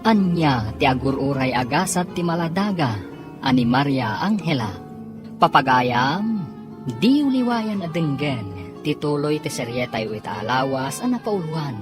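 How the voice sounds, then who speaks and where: A woman with a low voice narrates a radio drama. Her scripted delivery is solemn and theatrical, with wide swings in pitch. Music plays behind her. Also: animated, a little slow.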